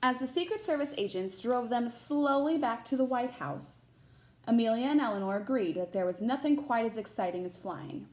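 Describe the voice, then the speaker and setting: Higher-pitched woman reading a picture book aloud. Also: lively intonation, darkish tone, low-quality recording. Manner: pauses for short asides to listeners. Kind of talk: expressive children's picture book read-aloud.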